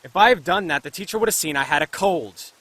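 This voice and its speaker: A man voicing a blunt but caring male high school student, earnest, in an amateur anime fan dub. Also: normal speed, fairly bright tone, no room sound, close to an everyday voice, rough recording. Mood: annoyed.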